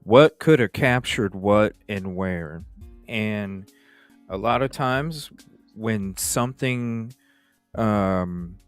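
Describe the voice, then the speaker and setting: Low-pitched man, casual talk over music. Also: very slow, no room sound. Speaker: host of a true-crime livestream. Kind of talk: casual case discussion.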